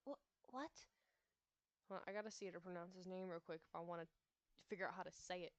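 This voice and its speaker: A woman's voice: fairly low pitch, fast, no room sound. A woman with an American accent reading a visual novel aloud, stumbling over names and breaking off to chat. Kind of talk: reading game text aloud with chatty asides. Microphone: laptop microphone. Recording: dull lo-fi audio with game music behind.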